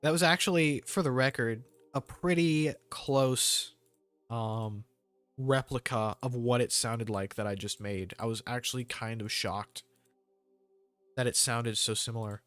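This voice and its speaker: A man's voice: mid-range voice, fairly even intonation, unhurried, a bit bright, clean tone, no echo, soft background music. A man giving casual, rambling gameplay commentary with jokey slang. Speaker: gamer hosting a let's play.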